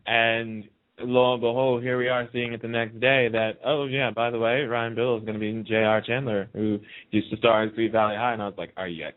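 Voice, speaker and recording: A man's voice: mid-range voice, little pitch movement, a little fast. A man chatting casually as a co-host on a radio talk show. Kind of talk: casual chat. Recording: narrow phone-like internet radio line.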